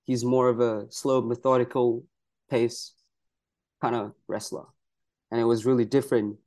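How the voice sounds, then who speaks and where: Earnest young wrestler from Malaysia. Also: male voice, medium pitch, flat delivery, normal speed, a little mellow. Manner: earnest, proud, many "you know" fillers. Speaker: pro wrestler guest. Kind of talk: casual interview answers.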